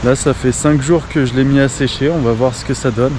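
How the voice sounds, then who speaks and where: A man's voice: medium pitch, steady tone, brisk, noisy background. A man, talking casually as a travel vlogger. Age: 20s. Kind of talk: casual travel vlog talk and narration.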